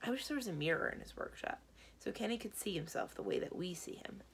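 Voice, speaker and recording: A woman's voice: fairly low pitch, expressive, quick pace, gravelly, a little hiss or hum. A woman reading a children's book aloud as a library storyteller, narrating expressively.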